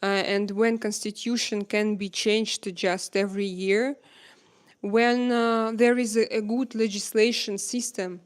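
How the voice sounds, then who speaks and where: A woman, not a native English speaker, hosting a live chat with viewers and speaking hesitantly with many pauses to find her words. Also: medium pitch, flat delivery. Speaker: livestream host chatting with viewers. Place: home in Spain.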